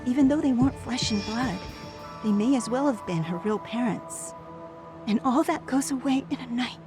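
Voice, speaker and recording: An actress playing a gentle woman who recalls an old friend's past in a conversational, wistful way for a video game cutscene. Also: a little high, normal speed, natural voice. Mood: somber, sympathetic. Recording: studio voice with music behind.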